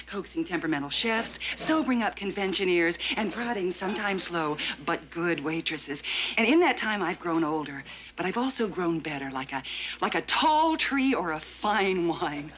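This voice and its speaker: A proud, sharp-tongued sitcom hostess in her forties, indignant and theatrical. The voice sits a little low but swings widely in pitch as she presses each point. Faint background hiss sits under it. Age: 40s. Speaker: restaurant hostess. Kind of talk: sitcom acting.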